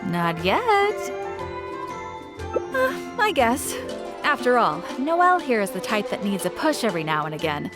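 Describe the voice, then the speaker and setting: A woman's voice: fairly high pitch, huge pitch swings, unhurried, long pauses, music in the background. A woman voicing a friendly, chatty shopkeeper in an anime video game, with a knowing, teasing manner.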